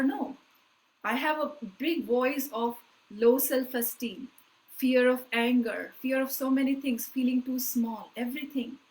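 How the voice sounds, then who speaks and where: A woman leading a live online spiritual workshop, talking in an earnest, emphatic way with slow, deliberate phrasing that often trails off softly. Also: on the high side, rough recording.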